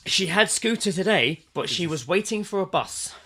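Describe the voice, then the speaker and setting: A young man co-hosting a podcast in casual chat, with a hoarse throat and a jokey, sweary manner. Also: high voice, animated, normal speed, sharp tone. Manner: jokey, swears freely, does silly voices. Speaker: podcast co-host.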